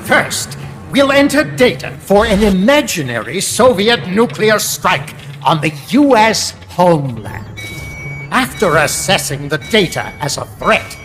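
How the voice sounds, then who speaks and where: An actor playing a zealous, calculating middle-aged American intelligence chief, delivering grandiose, emphatic lines in a dramatic video game. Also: male voice, high voice, big pitch swings, unhurried, a bit bright, soft background music, heavily acted.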